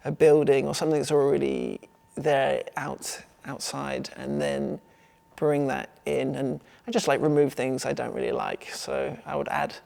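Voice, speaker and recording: A man's voice: medium pitch, flat delivery, a little slow, gravelly, darkish tone. A man with an English accent talking casually about his own work as an artist, in a rambling, informal way. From England.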